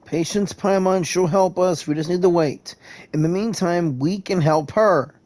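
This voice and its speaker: A woman's voice: on the low side, a little slow, darkish tone, slight background noise. A woman streaming a game, chatting and reacting casually as she plays. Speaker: gameplay streamer. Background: game music.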